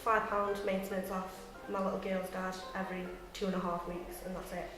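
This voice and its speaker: Halting nineteen-year-old woman. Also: fairly low pitch, flat delivery, very echoey, music in the background. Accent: English Midlands. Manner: halting, with many ums. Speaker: young mother in a short film.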